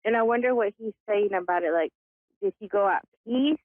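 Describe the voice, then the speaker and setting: A woman from Texas calling in to a psychic show, speaking hesitantly with halting pauses. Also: mid-range voice, dry recording. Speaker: caller. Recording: tinny phone line.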